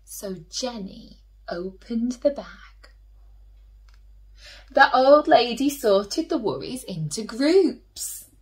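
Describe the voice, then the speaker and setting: Animated, slow-paced woman reading to children. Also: a little high. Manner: gently questioning, addressing young listeners. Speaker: children's storyteller, teacher. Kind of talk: expressive read-aloud for young children.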